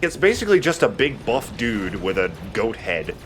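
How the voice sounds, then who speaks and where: A man's voice: medium pitch, big pitch swings, moderate pace, faint music behind. A man giving casual gameplay commentary as a let's play host, with jokey, sweary banter. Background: video game sound.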